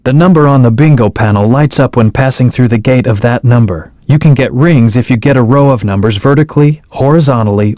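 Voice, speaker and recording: A man's voice: medium pitch, dark tone, no echo, clean studio sound, close to an everyday voice. A young adult actor voicing a brooding, cold male hedgehog antihero in a video game, giving terse, detached commands and gameplay hints.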